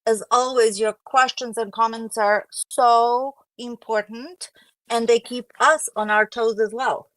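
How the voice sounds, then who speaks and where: A woman's voice: on the high side, fairly even intonation, unhurried, a bit bright, no room sound, poor audio. A woman speaking as a guest on a casual panel in an upbeat, emphatic way.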